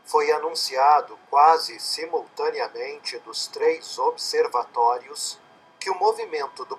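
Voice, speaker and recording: A man's voice: mid-range voice, a little hiss or hum, low-quality recording. A man reading literary prose in a scripted audiobook style.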